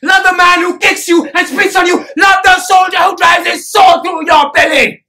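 Woman playing fervent preacher, high voice, unhurried pace, theatrical oratory, emphatic, deliberate pauses. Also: expressive. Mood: fervent, impassioned. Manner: slow, deliberate, emphatic, with dramatic pauses and repetition. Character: impassioned preacher urging a crowd to love. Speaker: actor performing a monologue. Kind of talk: theatrical dramatic monologue, sermon-like oratory.

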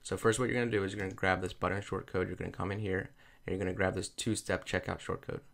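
A man talking through a software tutorial over a screen recording, explaining each step plainly. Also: low-pitched, level tone, quick pace, vocal fry. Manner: plain step-by-step explaining, repeats "you're gonna".

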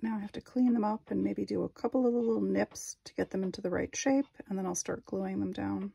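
A woman's voice: mid-range voice, brisk, vocal fry, warm tone, husky, no echo. A woman narrating her glass craft work at a home workbench, thinking aloud and unsure of each step. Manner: thinking aloud, self-doubting. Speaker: glass artist. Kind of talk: casual craft vlog narration.

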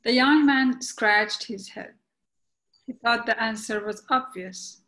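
A woman playing a storyteller, narrating a comic verse tale deliberately in a readers' theatre performance. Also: fairly high pitch, a bit bright, low-quality recording. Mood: measured. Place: indoors at home on a video call.

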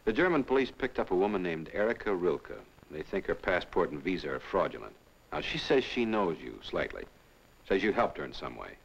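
An actor playing a calm consulate official in an old film, polite, measured and probing. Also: male voice, low voice, gravelly, husky, full character voice. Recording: dull, hissy old film soundtrack.

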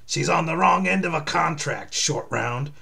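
Hulking comic-book villain, acted by a man: cocky, menacing, swaggering drawl, raised pitch with gravel in it. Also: normal speed, bright, forceful tone, exaggerated voice. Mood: taunting.

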